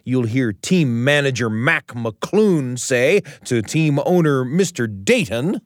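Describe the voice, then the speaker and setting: A male radio host delivers a conversational scripted introduction. His mid-pitched voice is lively and varied, with emphatic storyteller phrasing, recorded dry in a studio. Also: takes it slowly, dry recording.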